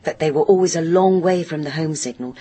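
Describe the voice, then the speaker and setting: An actress playing a middle-aged woman in a radio drama, wistfully and patiently recalling her girlhood in a husky voice. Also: sits low, brisk, warm tone. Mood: explanatory. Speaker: narrating witness in a radio play. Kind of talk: reminiscing, naturalistic radio drama acting.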